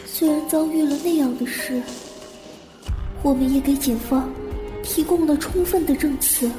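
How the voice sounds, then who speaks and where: A woman's voice: high voice, normal speed, close to an everyday voice. A woman acting a haunted, anxious young woman who narrates her childhood trauma in a radio drama. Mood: brooding, uneasy. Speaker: voice actor and narrator. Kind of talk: first-person radio drama narration and dialogue. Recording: reverberant echo with music underneath. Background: music.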